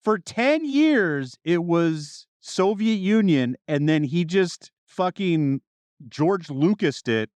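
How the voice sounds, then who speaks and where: A male podcast host with a fairly high voice talks casually. His pitch moves around a lot, and the sound is dry with little room to it. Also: lively intonation, takes it slowly, darkish tone, clear, resonant tone, dry recording. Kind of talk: casual chat.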